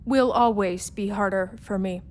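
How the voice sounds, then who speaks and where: A woman acting in a radio play as a steady, sad friend, restrained and stoic. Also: mid-range voice, moderate pace, slight background noise, lightly acted. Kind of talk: subdued radio drama dialogue.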